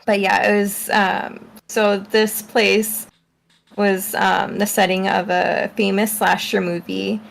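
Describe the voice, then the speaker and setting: A woman speaks very slowly in a casual, unscripted way, with plenty of vocal fry. Her delivery is halting and hedging as she reviews books as a co-host. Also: mid-range voice, flat delivery, low-quality recording. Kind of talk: casual chat, unscripted book summary.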